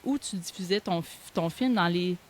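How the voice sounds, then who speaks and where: A woman's voice: fairly low pitch, moderate pace, a little hiss or hum. A woman in her forties with a Quebec French accent, hosting an interview in a casual, conversational way and rephrasing as she goes. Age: 40s. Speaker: interviewer, host.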